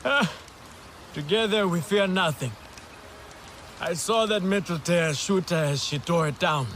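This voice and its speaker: Formal alien hunter, game cutscene, highish voice, slow talker. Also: male voice, a bit bright, slight background noise. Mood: steady. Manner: formal, old-fashioned speech. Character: devoted young male alien hunter. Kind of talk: video game cutscene acting.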